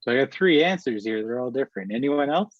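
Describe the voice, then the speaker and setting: A man's voice: medium pitch, animated, fast, no room sound. A man giving a webinar presentation, talking conversationally and checking in with "okay" and "right?". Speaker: workshop presenter. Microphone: video call. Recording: dull lo-fi video call audio.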